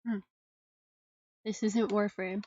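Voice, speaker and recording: A woman chatting casually in a low-key way as she plays a game with someone. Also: level tone, slow, dark tone, dull audio. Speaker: co-player. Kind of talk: casual gameplay chat. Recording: clear background noise with clicks.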